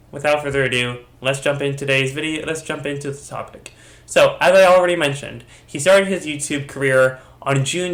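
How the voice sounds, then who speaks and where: A man's voice: a little high, fairly bright tone. A man narrating a commentary video while sick and under the weather, rambling and often correcting himself mid-sentence. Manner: rambling, with frequent restarts and self-corrections. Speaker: YouTube commentator. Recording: echoey room.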